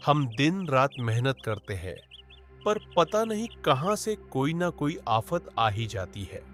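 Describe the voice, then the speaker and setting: Guy doing a worried young village fella for a cartoon, rustic talk, slow and drawn out, faint music under. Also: mid-range voice, sing-song, a bit bright. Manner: rustic village speech, exclaims often. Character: poor hardworking young village man, anxious. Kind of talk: cartoon story voice acting.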